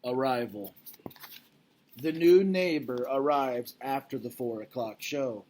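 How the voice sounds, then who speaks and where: A man's voice: mid-range voice, takes it slowly, darkish tone, rough recording. A man speaking as a teacher, casually recapping and reading a storybook aloud in an informal, explanatory way, checking in with listeners.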